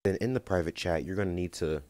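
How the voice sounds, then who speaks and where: A man's voice: low voice, fast, a crowd in the background. A man giving a casual, chatty game walkthrough, talking informally to his viewers. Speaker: game guide video creator. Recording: music behind the voice. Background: game music and dialogue.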